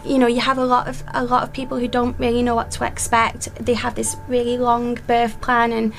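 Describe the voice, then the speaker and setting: A woman's voice: on the high side, completely flat delivery, brisk, creaky, faint music behind. A woman, a midwife guest, speaking earnestly with frequent ums. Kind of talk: interview conversation.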